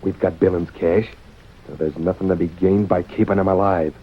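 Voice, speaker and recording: Ruthless cowboy villain, husky american drawl, sly and bossy, muffled old radio sound. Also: male voice, sits low, steady tone, moderate pace. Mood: scheming, menacing. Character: cunning, ruthless Old West outlaw ringleader, sneering and bossy. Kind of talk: old-time radio western acting.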